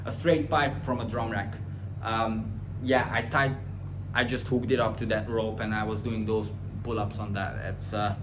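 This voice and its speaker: Blunt trainer with a Hungarian accent. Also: male voice, noisy background. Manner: blunt and opinionated, rising loud and high when ranting; drops to a quieter, slower tone when explaining. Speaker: personal trainer answering viewer questions. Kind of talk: casual Q&A monologue.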